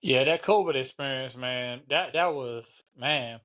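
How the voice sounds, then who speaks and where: A man hosting a casual interview show, talking in a relaxed, easygoing way and often dropping "man" and "you know what I'm saying". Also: mid-range voice, a little slow. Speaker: podcast host.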